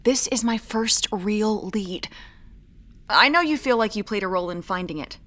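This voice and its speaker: A woman playing a guarded, quietly determined amateur detective in a naturalistic audio drama, with a dry, measured manner. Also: medium pitch, natural voice. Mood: reluctant, focused.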